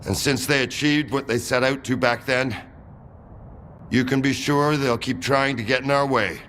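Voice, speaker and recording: A man voices a commanding army colonel in a game cutscene. He is curt, stern and impatient, in a mid-pitched voice, with faint ambient noise behind him. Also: fairly even intonation, normal speed, fairly bright tone, lightly acted. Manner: curt, authoritative. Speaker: military commander. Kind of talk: video game cutscene acting.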